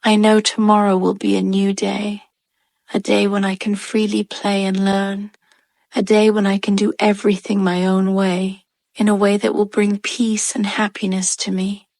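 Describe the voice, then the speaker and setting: A woman narrating a scripted bedtime meditation for children in a gentle, soothing manner. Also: medium pitch, completely flat delivery, moderate pace, vocal fry, dry recording.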